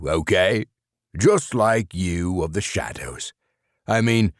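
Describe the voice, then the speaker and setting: A grumpy old stone gargoyle, as a man voices him, gruff and dismissive, bragging about his kind. His voice is very low and slow, and the recording is dry. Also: dry recording, heavily acted.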